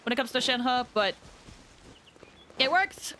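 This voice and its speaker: A woman livestreaming a game, chatting casually with viewers in a bubbly, expressive way as she thinks aloud. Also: sits high, slow, background noise. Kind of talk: casual gameplay chat with viewers. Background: game music.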